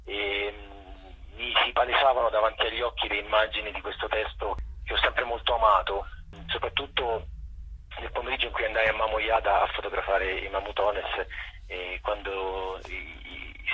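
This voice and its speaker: A man from Italy, a playwright and director being interviewed, telling his story reflectively and haltingly over a phone line. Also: on the low side, bright, forceful tone, husky. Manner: halting, searching for words. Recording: phone line, harsh and band-limited.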